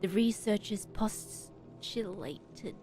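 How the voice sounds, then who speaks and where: A woman streamer reading a horror story aloud in expressive, dramatic narration with emphatic pauses. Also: medium pitch. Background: background music.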